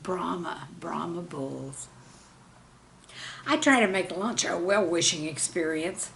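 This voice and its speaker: A woman, a teacher, reading her own scripted humorous anecdotes in a deliberate storytelling manner. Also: lowish voice, slight background noise.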